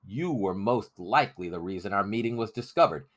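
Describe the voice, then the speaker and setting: A man voicing a stern, suspicious rebel leader with a deliberate, accusing manner, in a storybook reading. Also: on the low side, animated, voice pushed up.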